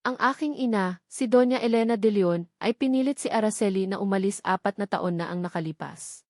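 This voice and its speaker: A woman's voice: mid-range voice, a little fast, a little mellow, dry recording, studio quality, lightly acted. A woman voicing a protective, defiant grown son in a love story, firm and earnest. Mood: resolute.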